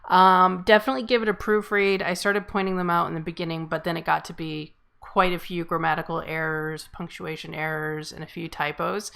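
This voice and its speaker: A woman's voice: fairly low pitch, flat delivery, fairly quick, creaky, few pauses, a bit bright, clear, resonant tone. A woman hosting and giving casual script feedback in an encouraging but frank way. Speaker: host and amateur screenwriter giving feedback.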